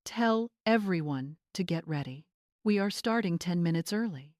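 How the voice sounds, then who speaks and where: A woman voicing an entitled, imperious young bride with a sharp, demanding manner in a dramatic story. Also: lowish voice, lively intonation, normal speed, dry recording, studio quality, natural voice. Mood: curt, commanding. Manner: hissing, demanding.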